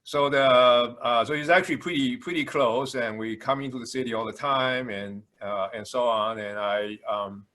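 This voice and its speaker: Halting older man with a Taiwanese accent. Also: medium pitch, fairly even intonation, pushed and bright. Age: 60s. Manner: halting, frequent hesitations and repetitions. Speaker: university administrator introducing himself. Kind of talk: informal introductory speech. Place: video call.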